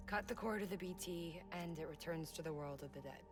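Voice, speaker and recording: A grave woman scientist in a video game drama, played by an actress, speaks in a low voice. Her delivery is measured and soft, with halting pauses, and music plays clearly behind her. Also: close to an everyday voice. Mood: calm, explanatory. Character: grave, soft-spoken adult woman scientist, bereaved mother.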